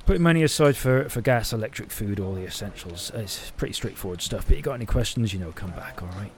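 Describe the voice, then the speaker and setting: A man's voice: on the low side, animated, quick pace. A man playing a well-meaning, fussy housing officer in an audio drama, rambling hesitantly through official procedure. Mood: officious, distracted. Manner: hesitant, muddled, full of official terms. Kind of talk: naturalistic audio drama acting.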